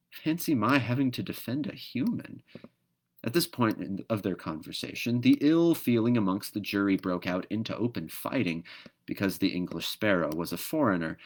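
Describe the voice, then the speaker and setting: A man narrating a children's storybook aloud in an expressive read-aloud style. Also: on the low side. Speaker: storybook reader.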